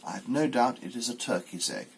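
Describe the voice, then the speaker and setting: A man's voice: medium pitch, sing-song, brisk, vocal fry, slight background noise. A man voicing an opinionated old female duck who gives emphatic, know-it-all advice in a storybook. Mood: knowing, insistent.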